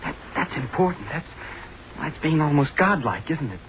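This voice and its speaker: An actor playing an earnest, timid, bookish young college student in an old radio drama, halting, nervous and eager to please. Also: male voice, a little high, sing-song. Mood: intense. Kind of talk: 1950s American radio drama acting. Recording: muffled, hissy old radio recording.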